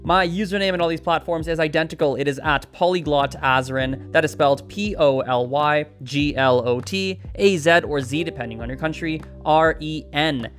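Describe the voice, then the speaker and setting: A man's voice: a little high, moderate pace, few pauses, a bit bright, soft background music. A man hosting a solo podcast, talking in an upbeat, chatty and rambling way with restarts. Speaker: podcast host. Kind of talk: solo podcast advice talk.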